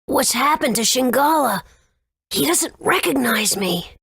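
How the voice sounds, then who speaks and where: A woman's voice: high-pitched, expressive, measured pace, gravelly, fairly bright tone, slightly rough, dry recording, studio quality, full character voice. A woman voicing a scrappy, caring young boy in a video game, speaking in a casual, earnest way. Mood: alarmed.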